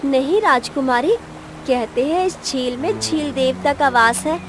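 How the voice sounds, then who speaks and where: A woman's voice: high voice, measured pace, noisy background. A woman playing a cautious, devout maidservant to a princess in a cartoon tale. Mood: earnest. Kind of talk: Hindi cartoon story voice acting.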